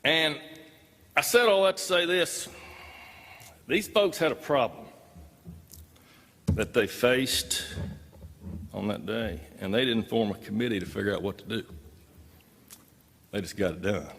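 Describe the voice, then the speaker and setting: A man gives a live talk, recounting an experience in folksy, emphatic storytelling. His voice is mid-pitched and carries the long reverb of a big hall. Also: lively intonation, a little slow, creaky, long pauses, a bit bright.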